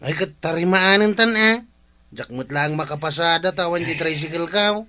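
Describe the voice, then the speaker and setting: Comedy radio sidekick: Ilocano, insistent and pushy, sharp tone sitting on the high side. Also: male voice, moderate pace.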